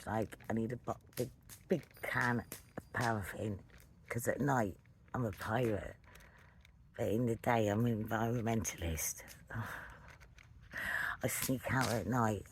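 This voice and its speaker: A middle-aged storyteller rambles casually with a playful, wandering delivery. The voice sits at a middling pitch between male and female ranges. There is clear noise and some clicking in the recording. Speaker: vlogger telling a personal story. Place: outdoors at night beside a fire.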